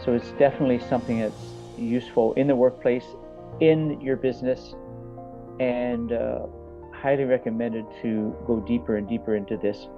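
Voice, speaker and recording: Halting, reflective man, music playing behind. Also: medium pitch, fairly even intonation. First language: English. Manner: halting, reflective, pausing mid-thought. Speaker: coach. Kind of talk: personal testimonial talk to an audience. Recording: slightly dull audio with music underneath.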